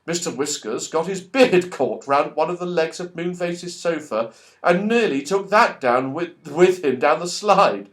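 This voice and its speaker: A man reading a children's story aloud as an audiobook narrator, expressive and animated. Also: fairly high pitch, unhurried. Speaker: audiobook reader.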